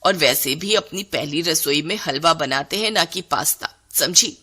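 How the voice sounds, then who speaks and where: A woman's voice: sits low, expressive, a little slow, pushed and bright, husky, voice pushed down, heavily acted. A woman voicing an exasperated middle-aged Indian mother-in-law in a cartoon story, scolding and fretting with dramatic exclamations.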